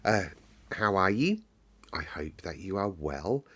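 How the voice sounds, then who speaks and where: A man's voice: low voice, very animated, slow, bright, forceful tone. A man narrating a storybook as host, with casual chatty asides to listeners. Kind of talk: read-aloud storybook narration and casual chat.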